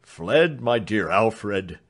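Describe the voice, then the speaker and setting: A man's voice: on the low side, animated, very slow, exaggerated voice. A man voicing a grieving older doctor speaking in a broken voice. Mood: grief-stricken. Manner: broken, faltering voice.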